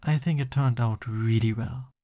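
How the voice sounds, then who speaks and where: A man playing a soft-voiced, lovestruck villain boyfriend in an intimate ASMR roleplay, bashful and tender as he talks. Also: medium pitch, normal speed, lightly acted. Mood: playful, affectionate. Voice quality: soft, breathy. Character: lovestruck, flustered supervillain boyfriend, soft and doting.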